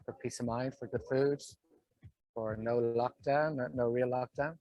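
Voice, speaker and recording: A man's voice: mid-range voice, steady tone, measured pace, muffled recording. A man from Ireland with an Irish accent, giving a casual testimonial in short, hesitant phrases. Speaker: digital nomad giving a testimonial.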